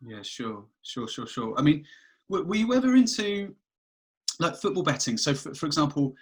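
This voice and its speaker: A man hosting a podcast, asking halting questions and affirming with repeated "sure, sure". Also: on the high side, very animated, husky. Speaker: podcast host. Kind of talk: interviewing.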